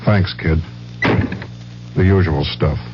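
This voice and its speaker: A hard-boiled private eye, terse and wisecracking in a very low voice. It is a noir-style radio commercial. The broadcast sounds dull and dated, with music behind. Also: male voice, steady tone, slow. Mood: deadpan, terse.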